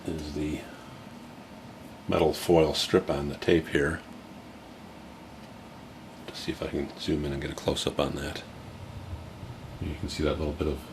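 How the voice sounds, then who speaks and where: A middle-aged man casually demonstrating a tape deck at home, explaining in a relaxed way with plenty of fillers. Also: extremely low pitch, background noise.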